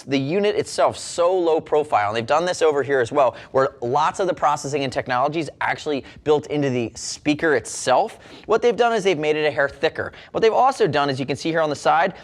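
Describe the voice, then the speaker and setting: A man hosting a product review, talking in a fluent, casual, direct way with a salesman's touch. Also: fairly high pitch. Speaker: product reviewer, show host. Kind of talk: product review presentation to camera.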